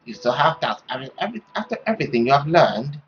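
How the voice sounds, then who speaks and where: Man acting a stern, domineering mother, animated pitch. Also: lo-fi sound, natural voice. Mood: scolding. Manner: dramatic, slow, deliberate, scolding. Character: stern, possessive, domineering mother, middle-aged woman.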